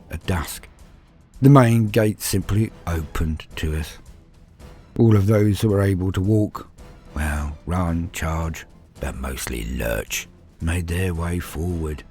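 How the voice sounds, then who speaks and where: A man narrating a dark story in a grave, measured way, with dramatic pauses, as host of his own channel. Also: deep voice.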